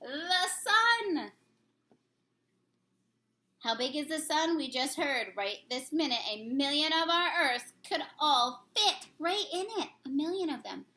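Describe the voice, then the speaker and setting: A woman teacher reading a rhyming picture book aloud to children in an expressive, sing-song storybook manner. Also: high voice, slow.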